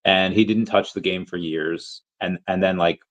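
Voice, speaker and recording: A man with a lowish voice hosts a casual podcast, rambling through long stories. He talks in a loose, conversational way, circling back as he goes. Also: steady tone, moderate pace, a bit bright, muffled recording. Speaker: podcast host. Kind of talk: casual chat.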